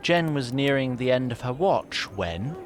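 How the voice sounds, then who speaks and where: A man's voice: mid-range voice, expressive, unhurried, slight background noise. A man narrating a scripted fantasy story in a dramatic, wry and literary manner. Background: music.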